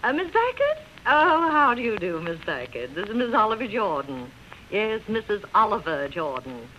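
A woman's voice: mid-range voice, huge pitch swings, normal speed, sharp tone. A woman playing a fussy, snobbish society mother in a 1930s radio drama, fluttery in manner. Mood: gushing, polite. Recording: dull, noisy old radio recording.

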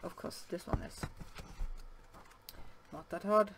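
A Norwegian woman, English not her first language, speaking in a casual vlog with many pauses, fillers and restarts. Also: fairly low pitch, very noisy. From Norway. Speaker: yarn vlogger. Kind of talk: casual show-and-tell vlog. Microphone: camera above the table, hands only.

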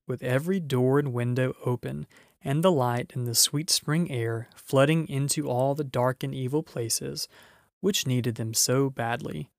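A man with a mid-pitched voice reads a children's storybook aloud. His narration is expressive, and the recording is close and dry. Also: gravelly, no room sound. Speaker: audiobook reader.

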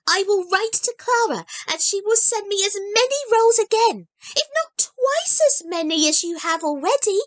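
A bubbly little girl's voice pitched way up high, gushing with eager delight as it leaps about in a lively sing-song, put on by a woman reading a storybook aloud. The sound is close and dry, with no room around it. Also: moderate pace, few pauses, dry recording. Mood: eager gleeful. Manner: bubbly, gleeful, breathless rush. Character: excitable eager little girl.

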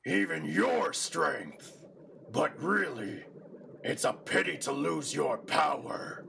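A hulking anime pirate villain, gloating and theatrical. The voice is heavily gravelled and swings widely in pitch. It sits on a clearly noisy amateur recording. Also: male voice, sing-song, slow, sharp tone, full character voice. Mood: ominous. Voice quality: gravelly, growling. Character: gleeful, boastful hulking pirate villain wielding darkness. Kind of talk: anime fan-dub acting.

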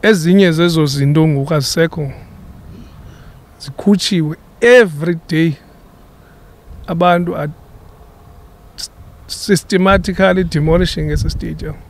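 A middle-aged South African man giving an earnest, measured on-camera statement outdoors, speaking into a handheld reporter microphone and switching between isiXhosa and English. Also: a little high. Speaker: local businessman making a public appeal. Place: outdoors at an old sports stadium.

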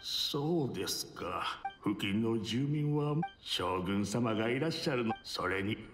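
An actor playing a gentle, deferential elderly tea master in a Japanese video game, polite and hesitant. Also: male voice, lowish voice, lively intonation, unhurried. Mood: humble. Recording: echoing voice over background music.

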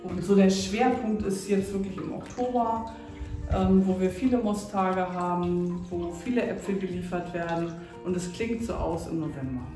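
A woman's voice: on the low side, moderate pace, recorded from a distance. Woman, middle-aged juice maker, casual interviewee, echoey workroom sound with faint music behind. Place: small tiled juice-pressing workroom indoors. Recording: echoey tiled room, off-mic, faint music.

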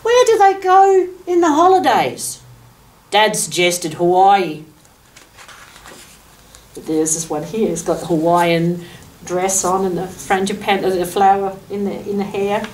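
A woman's voice: mid-range voice, expressive, measured pace, a little mellow. An Australian woman in her sixties reads a picture book aloud to young children, adding asides, explanations and questions for them. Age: 60s. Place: furnished office room indoors, seated. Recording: distant microphone, noticeable room background noise.

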